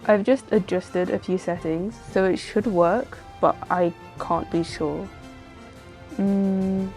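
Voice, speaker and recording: A woman's voice: medium pitch, fairly even intonation, slow pace, a little mellow, soft background music. A woman streamer chatting casually on a livestream while playing a story game.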